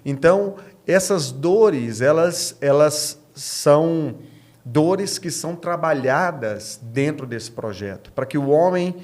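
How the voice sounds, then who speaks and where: A man's voice: fairly high pitch, expressive, slow, darkish tone. A man of thirty-six with a Brazilian accent, a pastor speaking as a podcast guest, explaining earnestly and repeating phrases for emphasis. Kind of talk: podcast interview answers.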